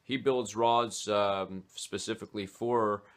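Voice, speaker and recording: Casting: man in his forties, lowish voice, relaxed, unscripted talk full of "uh" pauses, recorded close on a webcam in a small home office. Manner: hesitant, many "uh" fillers. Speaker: fly fishing vlogger. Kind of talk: casual gear talk to camera.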